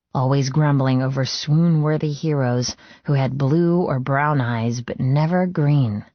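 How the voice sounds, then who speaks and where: A woman's voice: rumbling low, no echo, dull audio. A woman reading first-person fiction as an audiobook narrator, in a scripted storytelling style.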